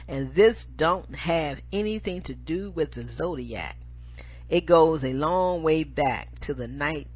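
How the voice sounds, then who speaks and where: An American woman with a husky voice, hosting and reading spoken-word poetry with an emphatic, deliberate delivery. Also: low-pitched, fairly bright tone. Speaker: show host and poetry reader. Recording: dull lo-fi audio.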